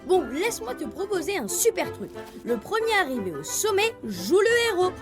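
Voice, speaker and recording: A woman's voice: extremely high pitch, big pitch swings, normal speed. A woman voicing an eager, bossy young male leopard cub in a children's cartoon, playful and insistent. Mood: insistent, competitive. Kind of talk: animated children's cartoon acting. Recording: music behind the voice.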